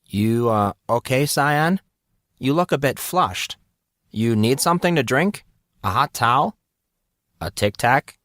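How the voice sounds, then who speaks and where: A man voicing a flustered, wisecracking teenage boy in an audiobook, speaking in a halting, informal way. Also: medium pitch, lively intonation, fairly bright tone, dry recording, clean studio sound, voice pushed up. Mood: teasing concern. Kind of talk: audiobook character voice.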